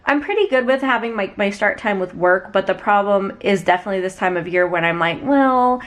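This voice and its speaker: A young adult woman with a slightly raspy voice, chatting casually and in a rambling, conversational way to her vlog viewers. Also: medium pitch, brisk. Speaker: small business owner vlogger.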